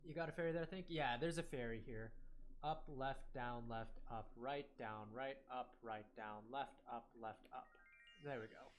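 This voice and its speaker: A man's voice: medium pitch, moderate pace, faint music behind. Rambling young man streaming a game. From United States. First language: Korean. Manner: rambling, many fillers and self-corrections. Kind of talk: casual live-stream chat while playing.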